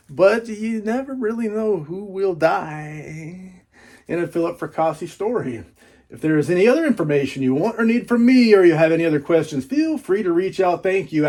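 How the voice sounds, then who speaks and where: A man's voice: a little high, warm tone. A man doing a casual, folksy book unboxing vlog, rambling playfully with repeats and little asides.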